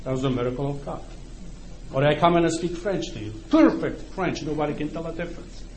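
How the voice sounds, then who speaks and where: Accented preacher in an echoey hall. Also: male voice, a little high, a little fast, darkish tone. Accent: Polish. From Poland. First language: Ukrainian. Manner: conversational, often checks in with listeners. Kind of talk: sermon to a congregation. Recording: echoey room, faint background noise.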